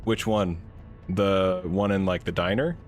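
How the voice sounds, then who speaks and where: A man with a naturally deep voice chatting casually while playing a game, rambling and laughing at himself. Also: fairly even intonation, unhurried, faint music behind. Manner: rambling, self-amused, laughs often. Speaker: game streamer. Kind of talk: casual gaming commentary.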